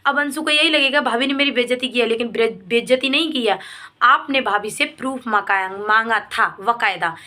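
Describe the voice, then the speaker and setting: A woman's voice: on the high side, brisk, sharp tone. A woman giving an informal opinion vlog, speaking emphatically with repeated phrases in a reproachful, pleading way. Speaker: vlogger commenting on a family controversy. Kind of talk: informal opinion vlog addressed to viewers and a person involved.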